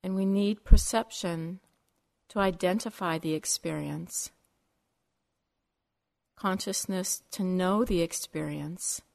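Woman leading a guided meditation, really slow, kinda low voice, long pauses between short phrases. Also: creaky, a little mellow. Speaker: meditation teacher.

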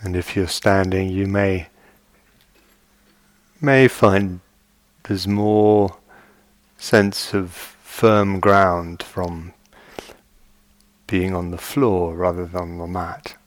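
A man guiding a meditation as a teacher, speaking gently and in measured short phrases with long pauses. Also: sits low, fairly even intonation, no echo. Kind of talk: guided meditation. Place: meditation retreat hall.

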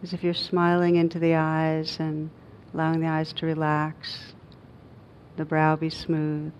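A woman guiding a meditation as a teacher, speaking gently and unhurriedly in short phrases with long pauses. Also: completely flat delivery. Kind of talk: guided meditation. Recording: dull muffled recording with faint rumbling noise.

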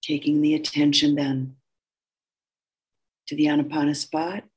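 Female meditation teacher: gentle, husky delivery, very even pitch, unhurried phrases with long pauses between them. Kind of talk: guided meditation instruction.

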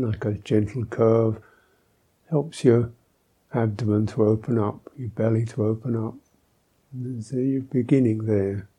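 Male meditation teacher: very mellow, husky, unhurried and gentle, leaving long pauses. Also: lowish voice. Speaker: Buddhist monk, meditation teacher. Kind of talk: guided meditation instruction.

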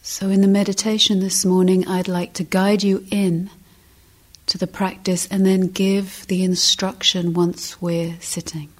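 A woman meditation teacher giving guided instruction, speaking gently and deliberately in short phrases with long pauses. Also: medium pitch, little pitch movement.

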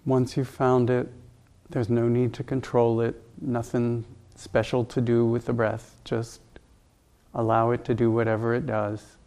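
A man leading a guided meditation as a teacher, speaking calmly and gently in unhurried, spaced instructions. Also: medium pitch.

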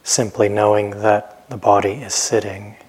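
A man teaching a guided meditation in a gentle, unhurried manner, leaving long pauses between phrases. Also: lowish voice, flat delivery, slightly rough, low-quality recording.

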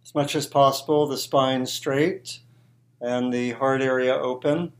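Man, meditation teacher, mid-pitched voice, very unhurried pace, halting short phrases, gentle guided instruction. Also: fairly even intonation.

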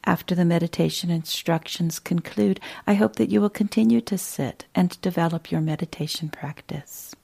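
A woman teaching meditation, giving gentle, unhurried guided instructions with long pauses between phrases. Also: fairly low pitch, gravelly, breathy edge, dry recording.

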